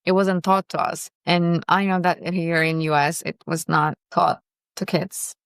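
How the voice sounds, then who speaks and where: A young woman talks in a smooth, resonant voice that sits slightly low. She gives earnest, optimistic commentary, speaking in short phrases broken by pauses. The recording is close and dry. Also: steady tone, no echo. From Turkey. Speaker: vlogger reacting to a podcast. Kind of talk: casual video commentary.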